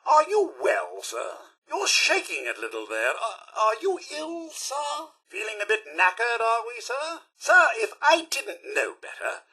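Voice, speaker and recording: An actor playing a prim, polite robot butler in a video game, with a British accent and theatrical, clipped manners. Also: male voice, very high voice, wildly swooping pitch, unhurried, hardly any pauses, bright, forceful tone, slightly rough, full character voice. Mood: solicitous. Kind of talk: video game character voice lines.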